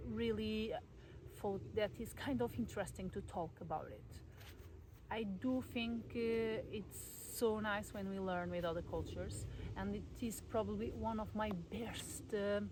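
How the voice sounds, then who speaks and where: A young woman from Portugal with a Portuguese accent, talking casually to camera in her garden, with many fillers and soft laughs. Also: medium pitch, background noise. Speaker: vlogger. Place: garden at home in the countryside, outdoors.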